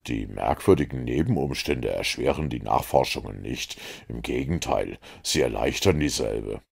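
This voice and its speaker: A man voicing a calm, confident detective who explains his reasoning in a measured, lecturing way. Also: a bass voice, creaky, slightly rough, exaggerated voice. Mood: explaining. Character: calm, confident male detective, middle-aged.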